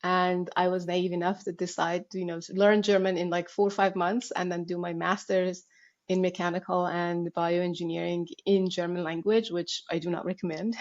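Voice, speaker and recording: A woman's voice: fairly low pitch, moderate pace, muffled recording. Woman telling her story. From Iran. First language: Persian. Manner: animated storyteller, laughs often at herself. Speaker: guest. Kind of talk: podcast interview.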